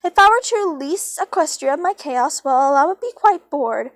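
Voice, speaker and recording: A woman's voice: high-pitched, lively intonation, normal speed, no room sound, voice pushed up. A woman voicing a teasing, theatrical male chaos creature villain from a storybook, with a mischievous, mocking manner. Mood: bargaining, grandiose.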